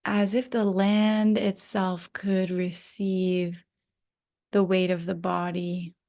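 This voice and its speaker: A woman leads a guided rest meditation at a very slow pace, leaving long, unhurried pauses. Her voice has a pronounced vocal fry and slips into breathy, almost whispered phrase endings. The delivery stays gentle throughout. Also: on the low side, level tone. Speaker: yoga nidra guide.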